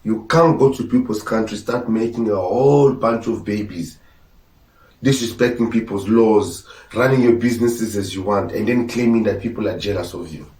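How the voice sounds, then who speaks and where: A man's voice: fairly low pitch, normal speed, darkish tone. Blunt podcast host, male, Portuguese first language, preachy delivery, distant mic in an echoey room. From Angola. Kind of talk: opinionated commentary.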